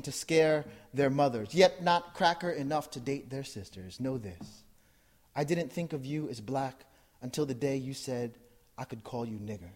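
Poet guy from jersey, mid-pitched voice, reads slow and intense then gets chatty with the crowd. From New Jersey, USA. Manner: measured, intense recitation, then chatty and laughing with listeners. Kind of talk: poetry reading and audience talk. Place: live event in front of an audience.

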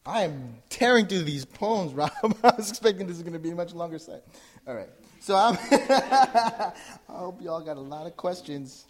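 A man from New Jersey who works as a poet. He gives an intense, measured reading of his poems and then talks casually with a live audience, laughing as he goes. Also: high voice, lively intonation. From New Jersey, USA. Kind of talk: poetry reading and audience talk. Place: live event in front of an audience.

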